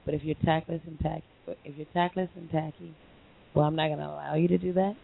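A hoarse woman hosting a live call-in internet radio show, chatting in a rambling way with many fillers and restarts. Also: low voice, flat delivery, fast talker. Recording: lo-fi phone line with faint hiss.

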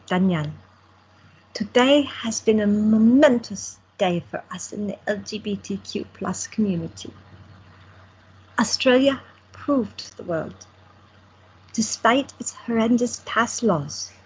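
A woman playing a husky old woman, heartfelt. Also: medium pitch, darkish tone, low-quality recording. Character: elderly woman proposing to her wife, heartfelt. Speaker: cast member in an audio drama. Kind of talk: amateur audio drama acting.

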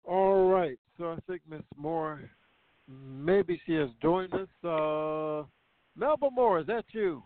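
Male radio host, slightly high pitch, casual interviewing, thin telephone sound. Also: slow, no room sound. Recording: tinny phone-line sound.

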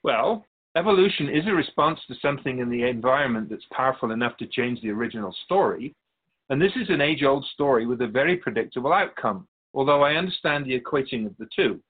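A man playing a wise, patient otherworldly spirit in a radio drama, explaining things in a measured, gently pedantic way with a husky voice. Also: medium pitch, animated, narrow, tinny audio, lightly acted. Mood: explanatory, measured. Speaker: spirit being interviewed. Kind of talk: scripted radio drama dialogue.

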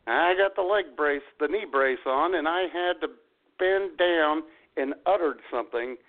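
A man's voice: fairly high pitch, measured pace. A man co-hosting a casual comic radio show, telling stories in a folksy, exasperated way. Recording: thin phone-like radio audio.

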